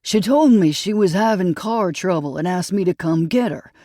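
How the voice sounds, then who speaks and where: A grieving gray-haired husband with a thick Texas drawl, as an audiobook narrator puts him on, his words pained and halting. The voice sits in a middle register, recorded close and dry with no room sound. Storybook crime drama. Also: mid-range voice, expressive, a little mellow, no echo, natural voice. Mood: distraught. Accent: Texas drawl (as played).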